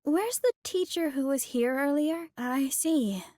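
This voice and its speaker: Timid schoolgirl, game character, high-pitched, unhurried, hesitant, polite, close dry sound. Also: dark tone, dry recording. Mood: timid, uncertain. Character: timid, awkward teenage schoolgirl, slow and unsure. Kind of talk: video game character acting.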